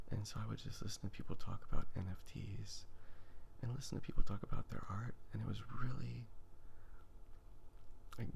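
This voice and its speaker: A man talking softly in a breathy half-whisper, rambling casually in an ASMR style, hesitant and often trailing off. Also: lowish voice, a little hiss or hum. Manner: hesitant, trailing off, thinking aloud. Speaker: ASMR video creator and artist.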